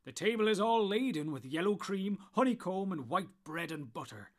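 A man voicing a jolly, booming old man of the woods in an audiobook, with a loud rustic sing-song manner and drawn-out words. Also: sing-song. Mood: jovial. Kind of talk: audiobook character voice.

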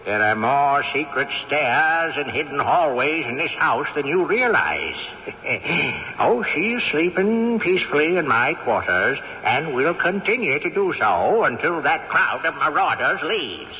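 A cantankerous old ghost. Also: male voice, fairly high pitch, sing-song, measured pace, sharp tone, slight background noise, full character voice. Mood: smug. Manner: haughty, scolding, sly chuckles. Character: cantankerous old male ghost, great-grandfather, possessive and scheming. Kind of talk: 1970s radio drama acting. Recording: dull old radio broadcast with reverb.

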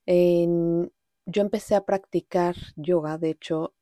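A woman's voice: fairly low pitch, fairly even intonation, slow pace, warm tone, no room sound, studio quality. A woman with a Mexican accent hosting a podcast, telling personal reflections in an informal, rambling way with occasional English words. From Mexico City, Mexico. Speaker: podcast host. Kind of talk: casual personal monologue, reflective storytelling.

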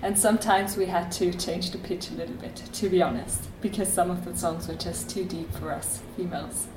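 A woman of middle pitch talks about her band in a friendly way, pausing to find words. The room sounds echoey, with clear ambience behind her. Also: fairly even intonation, background noise. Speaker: singer in a cover band. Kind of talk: casual interview chat. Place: university student coffee room.

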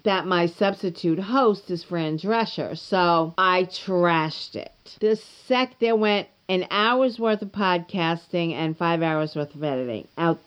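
A woman's voice: on the low side, highs cut off. A woman hosting her own podcast, talking casually and candidly with a stuffed-up nose and sore throat. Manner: chatty, candid, self-deprecating. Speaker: podcast host. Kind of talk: casual solo podcast monologue. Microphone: home podcast microphone.

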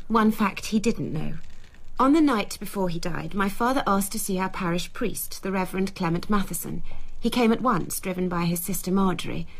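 Radio drama role: shrewd British woman, played by an actress, self-possessed, exact diction, medium pitch. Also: close to an everyday voice, rough recording. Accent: British English. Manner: measured, precise, articulate. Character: composed, shrewd woman giving testimony about her late father.

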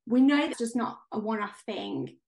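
A woman co-hosting a podcast, talking in a chatty, enthusiastic way with a slightly high voice. Her voice is going hoarse as she loses it. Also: very animated, normal speed, a little mellow. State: losing her voice. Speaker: podcast co-host. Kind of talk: casual podcast chat.

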